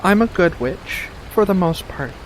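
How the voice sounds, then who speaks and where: A man voicing a playful, coy female witch with faint menace in a soft-spoken ASMR roleplay, speaking in a coaxing, deliberate way with pauses. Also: sits high, lively intonation, a little hiss or hum, voice pushed up, poor audio. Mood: coy, musing.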